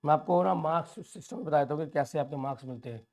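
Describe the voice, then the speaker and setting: A man with a sore, hoarse throat, walking students through an exam paper and calling them children. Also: a little high, fast, a little mellow. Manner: addresses viewers as children, reassuring. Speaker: teacher. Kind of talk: exam overview lesson.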